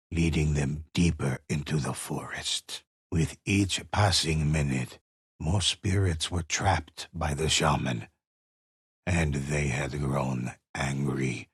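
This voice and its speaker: Deep, gravelly old storyteller. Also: male voice, no room sound, clean studio sound, full character voice. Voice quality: gravelly, husky. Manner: slow, solemn, measured, ominous. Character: grave old male storyteller of samurai ghost legends. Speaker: narrator. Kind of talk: dramatic scripted storytelling for a video game.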